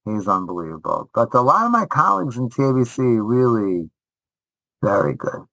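A man's voice: mid-range voice, big pitch swings, moderate pace, creaky, sharp tone, no room sound, poor audio. A man giving casual interview answers as a rabbi and teacher, speaking emphatically and often repeating short phrases for stress. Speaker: rabbi and high school teacher.

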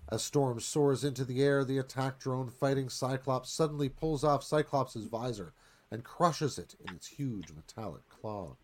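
Male narrator, medium pitch, scripted screenplay reading, live radio theatre. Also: unhurried, slight background noise.

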